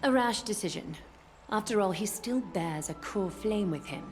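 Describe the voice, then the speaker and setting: A woman playing a solemn, regal leader in a video game, speaking in a stately, formal and deliberate way. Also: medium pitch, expressive, soft background music, lightly acted. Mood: composed, commanding. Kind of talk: English video game voice acting, formal and measured.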